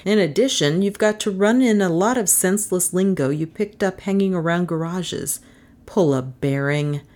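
A woman's voice: on the low side, normal speed, darkish tone, lightly acted. A woman voicing a stubborn, wry man, grumbling but sentimental, in dry, argumentative audiobook dialogue.

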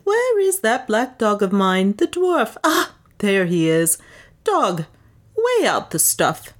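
A woman's voice: medium pitch, wildly swooping pitch, slow pace, voice pushed up. A woman voicing a swaggering male adventurer in an audiobook, bold, careless and commanding. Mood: hearty swagger. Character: swaggering male adventurer posing as a rich slave trader.

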